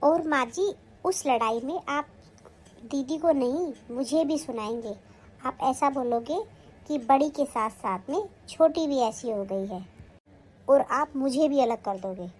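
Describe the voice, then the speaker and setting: A woman's voice: high voice, unhurried, a little mellow, husky. A woman acting a sly, newly married young daughter-in-law who coaxes and pleads in a family skit. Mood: plotting. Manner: coaxing, plotting, pleading.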